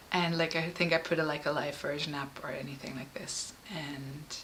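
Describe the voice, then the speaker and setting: Bright-voiced woman, halting and emotional. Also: sits low, fairly even intonation, slight background noise, low-quality recording. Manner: halting and emotional, frequent fillers and restarts. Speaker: artist and musician. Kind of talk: personal interview.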